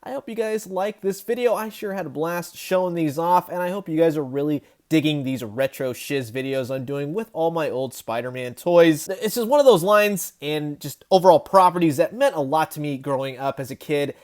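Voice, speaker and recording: Chatty, enthusiastic man with a higher-pitched voice. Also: moderate pace, hardly any pauses, a little mellow. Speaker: toy review video host. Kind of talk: casual enthusiastic toy review narration.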